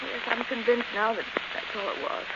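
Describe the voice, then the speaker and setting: A woman's voice: fairly high pitch, level tone, natural voice. An actress playing a fragile young woman who fears for her sanity, breathless and pleading, in 1940s radio thriller style. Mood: uneasy. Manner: breathless, halting, pleading, theatrical. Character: frightened, fragile young niece doubting her own sanity. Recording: dull, noisy old 1940s radio recording.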